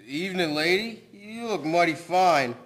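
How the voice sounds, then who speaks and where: Radio drama: sozzled male sailor played by an actor, leering, slightly high, faint noise behind. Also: animated, measured pace, a bit bright, long reverb tail. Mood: leering, drunk. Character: drunken, leering sailor on the street.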